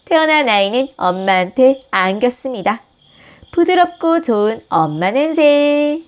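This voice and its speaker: Expressive woman reading a children's book. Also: high-pitched, measured pace. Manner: gentle, expressive storytelling. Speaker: storybook reader, channel host. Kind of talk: reading a picture book aloud.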